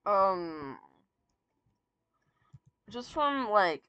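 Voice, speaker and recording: A woman chats her way through a song ranking, drawn out and full of fillers, giggles and restarts. Her voice has gone a little raspy and sore from long talking. She speaks slowly, and the sound is dry and close. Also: medium pitch, very animated, a bit bright, no echo, dull audio. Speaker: VTuber streamer. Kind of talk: casual fan commentary, ranking songs.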